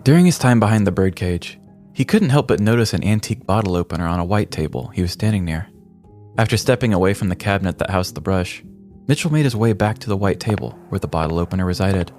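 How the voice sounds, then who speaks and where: A man's voice: low-pitched, lively intonation, quick pace, a little mellow. A man hosting a podcast, narrating a scripted comic story in a dramatic, ornate, mock-serious way. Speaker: podcast host. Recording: music bed behind the voice. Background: background music.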